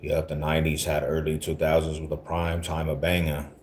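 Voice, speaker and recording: A man in his forties with a very low voice talks on a casual livestream. He rambles loosely in street slang and circles back to repeat his points, greeting viewers as he goes. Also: monotone, brisk, a little hiss or hum. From Denver, Colorado. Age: 40s. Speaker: livestream host talking to viewers.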